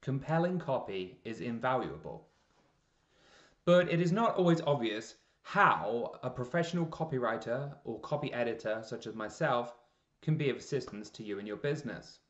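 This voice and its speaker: A man presenting a scripted promotional explainer in a measured, deliberate way with emphasis on key words. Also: medium pitch. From Canada. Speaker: presenter, freelance copywriter. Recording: roomy echo, slightly dull lo-fi sound.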